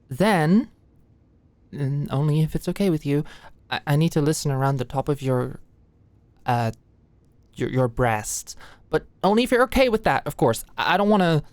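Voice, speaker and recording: Woman playing nervous young female med student, low-pitched, soft ASMR roleplay delivery, hesitant and awkward, close and dry. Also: no echo. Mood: shy, hesitant. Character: anxious, flustered young female medical student, sweet and awkward.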